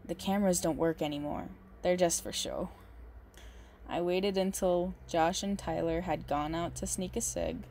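A woman playing a big, muscular goth girlfriend, possessive and unhinged yet doting, in an intimate, halting ASMR roleplay monologue. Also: fairly low pitch, steady tone, mellow, a little hiss or hum, lightly acted. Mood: casually menacing. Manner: slow, halting, doting, menacing under sweetness.

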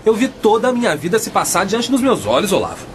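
A stubborn, aggrieved Brazilian husband in a comic radio soap. His voice is fairly high and melodramatic, with faint noise behind it. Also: very animated, fast talker. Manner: plaintive, melodramatic.

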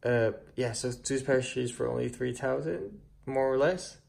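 A man's voice: mid-range voice, normal speed, gravelly. A young Filipino man with a Filipino accent, chatting casually to his phone camera as a vlogger, switching between Filipino and English. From Philippines. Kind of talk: casual vlog talk. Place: busy fast food restaurant. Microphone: phone at arm's length. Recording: loud crowd noise in restaurant. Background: crowd chatter.

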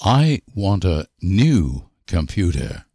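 A man reading short example sentences for language learners with deliberate, careful enunciation. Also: low voice, big pitch swings, mellow, dry recording, studio quality. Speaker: language teacher.

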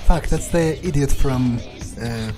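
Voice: mid-range voice, slow. A gamer doing in-character roleplay commentary in a casual, hesitant mutter. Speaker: gameplay streamer playing in character. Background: game music.

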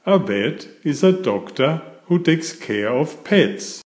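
A man reading a picture book aloud to young children in a deliberate, emphatic storytelling style. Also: fairly high pitch, expressive, darkish tone, roomy sound.

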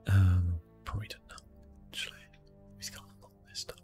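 A man giving soft-spoken, relaxed ASMR commentary over a video game, murmuring and often dropping into whispers. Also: low-pitched, fairly quick, over music. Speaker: gaming video host.

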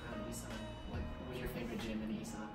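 A woman's voice: very deep voice, flat delivery, very fast, gravelly. A woman asking casual questions as an interviewer. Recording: very echoey room, distant mic, music.

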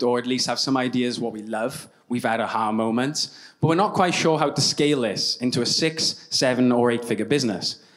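A young man with a Welsh accent telling stories with self-deprecating humor in a keynote talk on a conference stage, speaking into a handheld mic. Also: medium pitch. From Wales. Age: young adult. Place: large conference hall stage.

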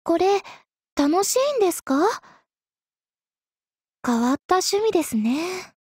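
An actress voicing a young sword maiden in an anime mobile game, polite and coy, with a teasing, possessively sweet manner. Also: female voice, very high-pitched, very animated, slow, darkish tone, no echo, studio quality, full character voice. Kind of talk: Japanese anime mobile game character lines.

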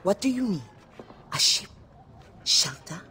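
A woman's voice: mid-range voice, sing-song, a little slow, bright, forceful tone, a little hiss or hum. A woman playing a shrewd, haughty madam and power broker in a video game, poised and sardonic. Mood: appraising. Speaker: video game character actor. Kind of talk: dramatic cinematic game acting.

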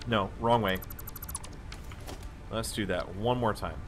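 A man casually narrating his own building game, talking to himself with wry asides and a slightly hoarse voice. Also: lowish voice, slow, background noise. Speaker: let's play host. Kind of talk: casual gameplay commentary. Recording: voice-over with loud game music behind.

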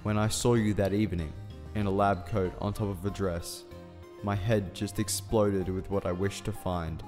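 A man's voice: low voice, fairly even intonation, moderate pace, natural voice. A man playing an earnest, awkward scientist in love in a fan radio play, speaking formally and hesitantly. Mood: tender confession. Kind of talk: fan radio drama acting. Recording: reverberant hall sound with music underneath.

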